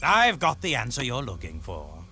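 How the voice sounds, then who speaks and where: Casting: haughty scheming aristocrat villain, gravelly edge, sweeping theatrical delivery, music underneath. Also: male voice, mid-range voice, huge pitch swings, normal speed, pushed and bright, slight background noise, exaggerated voice. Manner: pompous, haughty, grandiloquent. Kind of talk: animated video game acting. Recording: music behind the voice.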